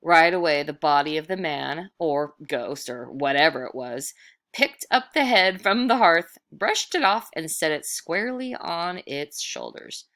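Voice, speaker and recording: A woman storyteller with a low, creaky voice reads aloud at an unhurried pace. Her narration is expressive and dramatic, made for children, with certain words drawn out for effect.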